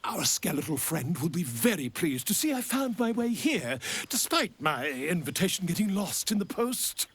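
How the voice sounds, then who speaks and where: Flamboyant husky sly writer. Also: male voice, high-pitched, sing-song, moderate pace, few pauses, a bit bright, full character voice. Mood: sly, chummy. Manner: theatrical, chummy, wheedling. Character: flamboyant, self-promoting, slyly charming writer and old friend. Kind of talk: video game character acting.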